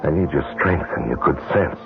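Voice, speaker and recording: Deep, creaky, smooth-talking killer. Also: male voice, expressive, moderate pace, heavily acted. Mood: smooth, wooing. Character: smooth-talking, cold-blooded male seducer and killer. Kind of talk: old-time radio drama acting. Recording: muffled vintage radio broadcast, music behind.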